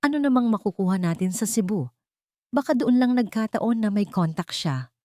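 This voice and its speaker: A woman's voice: medium pitch, expressive, normal speed, dark tone, no room sound, clean studio sound, voice pushed up, lightly acted. A woman playing a frustrated, dismissive businesswoman in a narrated story, sounding impatient.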